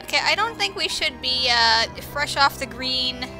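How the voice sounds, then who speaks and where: A woman's voice: sits high, animated, moderate pace. A woman giving casual video game commentary as host, with sarcastic asides, over music. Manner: sarcastic, mildly profane asides.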